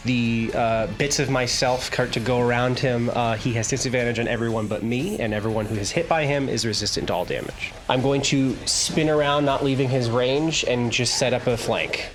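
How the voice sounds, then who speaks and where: Mid-pitched man narrating tabletop combat moves. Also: moderate pace, hardly any pauses, a little hiss or hum. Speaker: tabletop game player.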